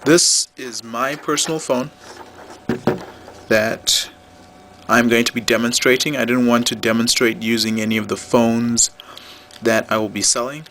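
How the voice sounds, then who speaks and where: A man's voice: mid-range voice, fairly even intonation, unhurried, pauses often, a bit bright, a little hiss or hum. A man giving an unscripted how-to demonstration as a seller, explaining things plainly, step by step. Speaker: product demonstrator and phone seller.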